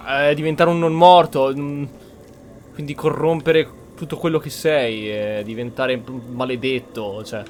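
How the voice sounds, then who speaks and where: A man's voice: mid-range voice, steady tone, normal speed, slight background noise. An Italian man giving informal, opinionated commentary as he plays through a video game. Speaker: gaming streamer. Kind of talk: casual playthrough commentary. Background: faint game music.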